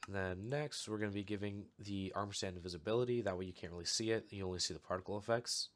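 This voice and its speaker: A young man with a low voice explains a game setup step by step in an easy, unhurried way. He is just getting over a cold, so his voice sounds a little off. Faint mouse clicks and typing sit behind him. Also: a little hiss or hum. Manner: relaxed, explanatory, steady walkthrough with fillers. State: recovering from a cold, voice a bit off. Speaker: channel host giving a game tutorial. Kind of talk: casual screen-recorded tutorial.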